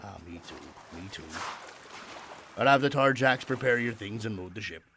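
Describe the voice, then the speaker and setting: An actor playing a playful, fatherly ship captain in a Regency audio drama, with expressive, old-fashioned phrasing. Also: on the low side, moderate pace, a little hiss or hum. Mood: teasing, pleased.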